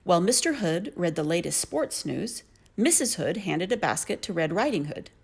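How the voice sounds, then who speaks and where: A woman narrating a children's story in an expressive storytelling manner. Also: fairly low pitch, normal speed, gravelly, breathy edge.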